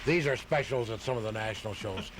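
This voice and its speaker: A man's voice: fairly low pitch, fast, a bit bright. Matter-of-fact man on a noisy radio tape. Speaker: radio guest, model aircraft hobbyist. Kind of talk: casual explanatory interview answers.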